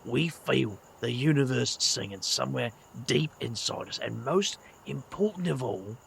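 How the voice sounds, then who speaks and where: Gravelly, weary British magician. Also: male voice, mid-range voice, expressive, unhurried, a bit bright, a little hiss or hum, full character voice. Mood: fond, reminiscing. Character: weary, kindly middle-aged British magician, wry. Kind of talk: audio drama dialogue.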